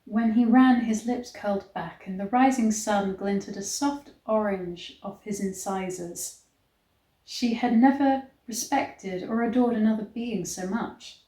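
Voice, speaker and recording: Slow-paced woman reading aloud, roomy echo. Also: medium pitch, dark tone. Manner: mock-dramatic reading broken by blunt, incredulous commentary. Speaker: online reader and commentator. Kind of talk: reading a novel aloud with incredulous asides. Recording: roomy, echoey sound.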